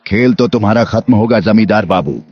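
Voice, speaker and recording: An actor playing the stern, avenging ghost of a murdered village headman in an animated moral story, with a commanding, theatrical delivery. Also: on the low side, a little fast, natural voice. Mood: menacing. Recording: dull lo-fi audio. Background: music.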